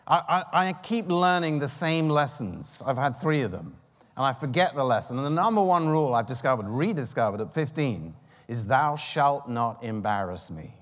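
A man's voice: medium pitch, very animated, normal speed, in a large hall. A man in his fifties preaching a sermon in a chatty, self-deprecating way, with rambling asides. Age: 50s.

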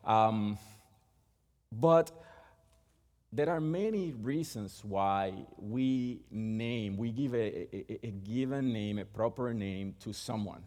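A middle-aged man from Puerto Rico with a Puerto Rican accent, Spanish being his first language, preaching a sermon in a halting way with many restarts. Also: lowish voice, a little mellow, in a large hall.

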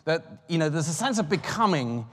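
A man's voice: fairly high pitch, very animated, fairly quick, in a large hall, slight background noise. A man in his fifties preaching a sermon in a chatty, self-deprecating way, with rambling asides. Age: 50s.

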